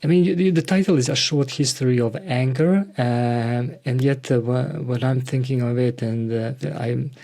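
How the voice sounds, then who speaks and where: A man hosting a reflective interview, speaking hesitantly and haltingly as he searches for words. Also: mid-range voice, dark tone. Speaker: podcast host interviewing a guest.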